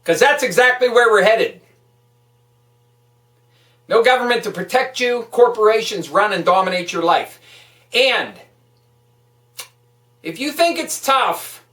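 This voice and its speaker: Echoey room, distant mic, woman, angry political vlogger, forceful, profane, hectoring. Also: medium pitch.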